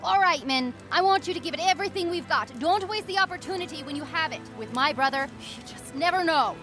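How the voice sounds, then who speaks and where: A woman's voice: sits high, expressive, fast, over music. A woman playing a stern, feisty pirate captain with a commanding manner in an audio skit.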